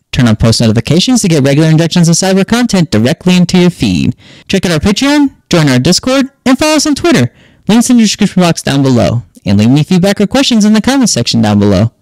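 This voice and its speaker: A man's voice: high voice, sing-song, quick pace, no room sound, rough recording. Man narrating a tutorial, dry close sound. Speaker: host of a tech tutorial channel. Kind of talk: scripted-casual screen tutorial narration.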